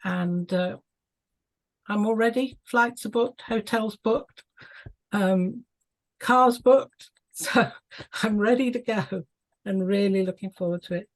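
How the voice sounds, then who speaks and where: Woman chatting on a video call, slow and unhurried, lots of ums. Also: medium pitch, poor audio. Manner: chatty, with many ums and small laughs. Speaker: club member on a video call. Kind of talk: casual chat on a video call.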